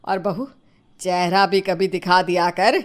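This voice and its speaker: A woman's voice: fairly low pitch, little pitch movement, a little slow, lightly acted. A woman voicing an exasperated older mother-in-law in a family storybook tale, bossy, nagging and sarcastic.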